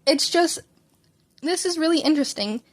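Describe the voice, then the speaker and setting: Woman reading as a rambling, eager doctor, highish voice. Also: expressive, normal speed. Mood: shy, apologetic. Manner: explanatory, rambling, apologetic. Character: enthusiastic, rambling, shy doctor explaining findings.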